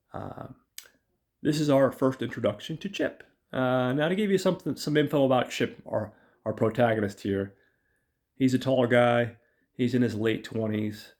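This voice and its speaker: Guy with a rough raspy voice from being sick, rambling casual film podcast, lots of ums. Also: lowish voice, a little mellow. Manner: rambling with many ums and uhs, talks to listeners as guys. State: raspy voice from illness. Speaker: podcast host.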